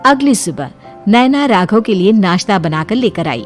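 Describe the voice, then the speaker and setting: A woman's voice: medium pitch, very animated, moderate pace, soft background music. A woman narrating a scripted moral story.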